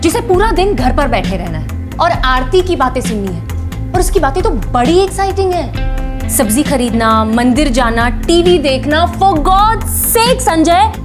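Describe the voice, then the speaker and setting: Casting: spoiled, discontented young wife in a TV serial, high-pitched, demanding, strong music underneath. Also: female voice, very animated, a little slow. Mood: bitter, pleading. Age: young adult. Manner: complaining, mixes in English phrases. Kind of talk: Hindi TV crime serial acting. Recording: dramatic background music.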